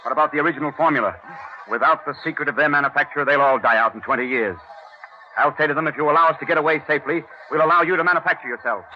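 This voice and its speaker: An actor playing an urgent, scheming man, eagerly and insistently pitching a bargain in an old-time radio drama. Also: racing, lightly acted. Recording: muffled, noisy old radio recording.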